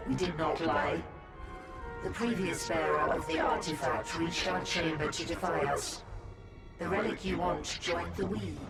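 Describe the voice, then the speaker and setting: An actor voicing an inhuman hive-mind creature in a video game, coldly polite and formal, speaking as a collective, with a gravelly, echo-processed voice. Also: medium pitch, fairly even intonation, unhurried. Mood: ceremonious. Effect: echoing monster voice processing. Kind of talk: video game villain acting. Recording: echoing, distant, under loud music.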